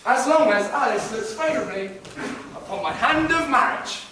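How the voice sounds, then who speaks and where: A pompous pantomime politician, acted by a man, declaims in a high, swooping voice. It is heard from afar in a hall thick with echo. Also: lively intonation, moderate pace. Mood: grandiose, wheedling. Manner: grandiose, declamatory. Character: pompous male schemers and rival candidates in pantomime. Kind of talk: pantomime stage acting. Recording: noisy echoing hall, distant mic.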